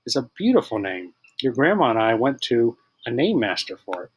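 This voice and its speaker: A man's voice: mid-range voice, big pitch swings, normal speed, husky, highs cut off. A man voicing a caring but firm mother. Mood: reassuring. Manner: gently instructing and reassuring.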